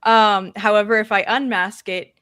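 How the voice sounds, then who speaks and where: A woman from near Boston, a VTuber guest, with a mostly masked Boston accent, laughing often and animated in casual chat. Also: mid-range voice, moderate pace, clear, resonant tone. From Massachusetts.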